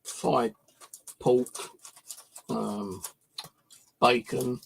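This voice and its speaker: A man's voice: medium pitch, steady tone, drawn-out words, creaky, slight background noise. A British man chatting casually on a livestream as its host, rambling and hesitant in an informal way.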